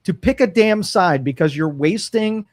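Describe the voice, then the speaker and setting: A man's voice: high-pitched, lively intonation, normal speed, dry recording. A man co-hosting a talk show from a studio, musing aloud with frequent fillers and restarts. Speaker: radio talk show co-host. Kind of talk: casual opinion talk.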